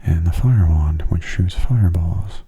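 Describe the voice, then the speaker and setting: Male ASMR gamer, very low and gravelly, soft-spoken, gentle, breathy, unhurried delivery. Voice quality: soft, breathy, often half-whispered. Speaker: gamer giving gameplay commentary.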